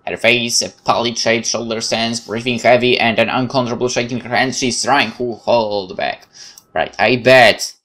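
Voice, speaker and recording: A man's voice: mid-range voice, fairly even intonation, moderate pace, a bit bright. A man with a Polish accent reading visual novel text aloud as a let's play host, dramatically, with occasional stumbles and casual asides. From Poland.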